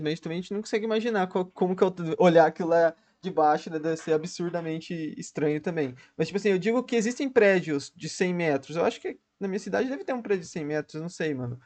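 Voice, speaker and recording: A man's voice: high voice, darkish tone. A Brazilian man with a Brazilian Portuguese accent, chatting casually as a reaction streamer, slangy and restarting his sentences often.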